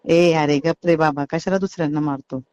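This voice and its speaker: A woman's voice: low voice, steady tone, fairly quick, a little mellow. A woman with a Maharashtrian accent in a casual phone conversation. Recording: dull lo-fi leaked phone call recording.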